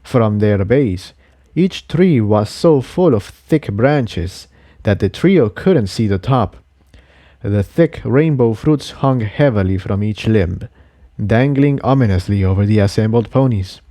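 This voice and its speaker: A man's voice: fairly low pitch, lively intonation, slow pace, warm tone, dry recording, studio quality. A man reading an adventure story aloud in scripted audiobook narration. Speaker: narrator and reader of a fan fiction.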